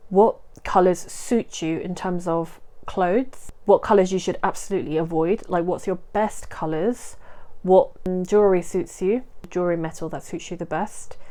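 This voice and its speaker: A woman's voice: fairly low pitch, darkish tone. A woman hosting her own vlog, chatting to camera in a casual, explanatory way with frequent asides and self-corrections. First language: not Korean. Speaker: vlogger host.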